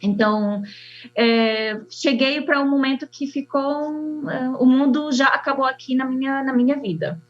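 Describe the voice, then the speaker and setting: A young woman from Syria with an Arabic accent, an architect telling her story slowly and haltingly, with many fillers. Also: high-pitched, fairly even intonation, soft background music. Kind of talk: personal testimony. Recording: dull lo-fi sound.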